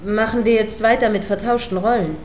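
A woman's voice: on the high side, steady tone, brisk, a little hiss or hum, natural voice. Woman acting a stern female radio anchor. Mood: exasperated. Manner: stern, pointed, formally articulate. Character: prim, combative female radio news anchor, irritated and sceptical. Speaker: radio news presenter. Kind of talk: radio play news broadcast dialogue.

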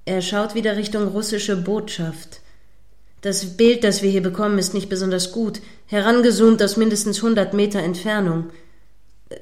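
A woman's voice: medium pitch, a little mellow, lightly acted. A woman playing an indignant, moralizing radio reporter giving live commentary on a standoff in a radio play.

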